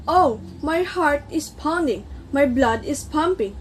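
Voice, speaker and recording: A woman's voice: sits high, animated, takes it slowly, a little hiss or hum. A woman playing an earnest circulatory system in amateur reader's theater, instructing and demonstrating with formal address.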